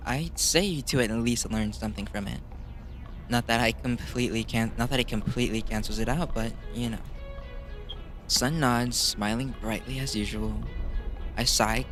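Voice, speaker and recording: A man's voice: lowish voice, a bit bright. A man reading a visual novel aloud as a gamer, casual and slangy, mixing narration with his own reactions. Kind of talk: casual let's play narration with asides.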